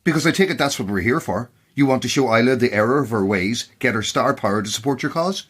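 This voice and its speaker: A man's voice: medium pitch, expressive, fast talker, fairly bright tone, breathy edge. A man playing an exasperated, sceptical guest in an audio drama, firing off challenging questions. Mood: sceptical, agitated.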